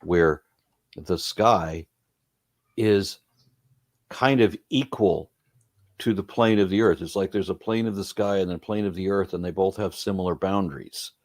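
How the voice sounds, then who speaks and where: Male guest, low voice, dry sound, wry debater. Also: measured pace, pauses often, dry recording. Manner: wry, argumentative, talks in long explanatory runs. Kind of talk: casual video interview.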